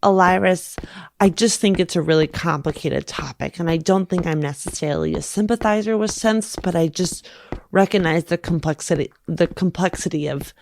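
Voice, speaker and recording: A woman's voice: lowish voice, normal speed, darkish tone, slight background noise, natural voice. Woman playing a hesitant, earnest undercover visitor. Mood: conciliatory. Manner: hedging, earnest.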